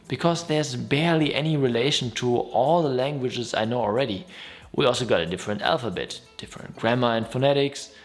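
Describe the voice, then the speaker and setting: A man with a German accent talks straight to his viewers. His voice sits in an ordinary middle pitch, and his delivery is friendly, upbeat and easygoing, like a casual explainer vlog. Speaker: YouTube host.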